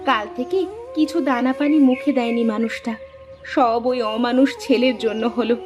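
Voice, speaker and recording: A woman's voice: a little high, steady tone, moderate pace, dull audio, lightly acted. A woman playing a devoted middle-aged village wife and mother in a cartoon fairy tale, with a plaintive, emotive delivery. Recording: prominent music behind.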